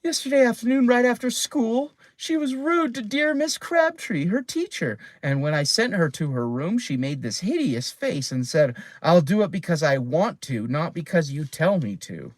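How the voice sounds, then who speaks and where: A man's voice: sits high, very animated, normal speed, few pauses, no room sound, pitched above the performer's own voice. Man doing a fretful proper mother. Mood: worried. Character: worried proper mother, anxious.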